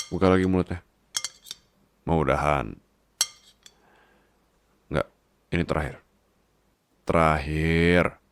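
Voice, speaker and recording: Casting: male actor as nagging yet tender boss husband, extremely low gravelly voice, intimate ASMR roleplay. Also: no room sound, heavily acted. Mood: firm coaxing. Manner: teasing, nagging, tender, slips into English. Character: bossy, scolding but doting big-baby boss husband.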